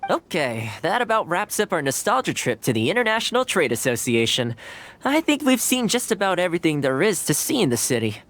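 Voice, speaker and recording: An actor voicing a courteous, easygoing young male retainer in game dialogue, polite and gently humorous. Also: sits high, very animated, a little fast. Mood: friendly.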